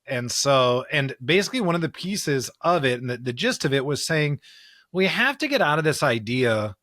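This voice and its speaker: A man with a mid-pitched voice co-hosts a conversational podcast about scripture. His delivery is earnest and emphatic, moving between loose talk and quoted passages. The recording is close and dry. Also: very animated, normal speed, a bit bright, no echo. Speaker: podcast co-host.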